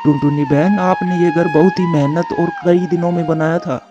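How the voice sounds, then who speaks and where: A man's voice: fairly high pitch, fairly even intonation, normal speed, music in the background, natural voice. A man voicing an earnest, protective male bird in a storybook cartoon, on dull lo-fi audio. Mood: righteous, determined. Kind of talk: Hindi moral-story cartoon dubbing.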